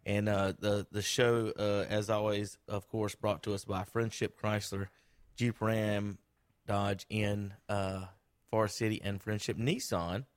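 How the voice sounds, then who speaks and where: A man's voice: lowish voice, little pitch movement, unhurried, clean tone, dry recording. A man hosting a sports talk radio show in a studio, chatting loosely with lots of fillers and teasing his co-hosts. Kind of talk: casual studio banter and sponsor reads. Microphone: studio microphone with headphones.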